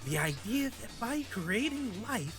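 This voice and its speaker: A woman's voice: fairly high pitch, very animated, unhurried, over music. A woman voicing a smug, gleefully mad old male scientist villain in a comedic fan dub, theatrical and gloating.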